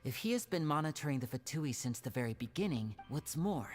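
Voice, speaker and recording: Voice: medium pitch, lively intonation, brisk, natural voice. An actor voicing a composed, clever young man in an anime game, articulate and analytical, protective of his own. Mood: thoughtful. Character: composed, clever young male stage magician, protective brother. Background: game music.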